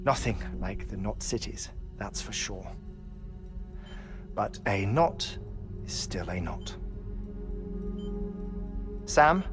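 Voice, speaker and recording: An actor playing a grieving, eccentric middle-aged scientist in a video game, speaking in a measured, scholarly way. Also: male voice, lowish voice, sing-song. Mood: earnest, explanatory. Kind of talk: dramatic video game cutscene acting. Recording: music behind the voice.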